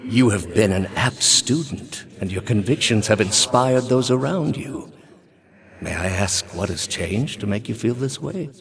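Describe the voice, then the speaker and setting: A solemn older high priest for a video game. The voice is low-pitched and grave. He speaks in measured, formal phrases like a devout preacher, with the calm command of a zealot. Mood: gentle, probing. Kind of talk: dramatic video game character dialogue.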